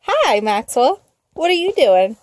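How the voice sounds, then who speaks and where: Woman, high pitch, parent talking to toddler, simple coaxing questions, casual home chat. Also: very animated, unhurried, a little mellow. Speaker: parent filming a child. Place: small home bedroom, indoors.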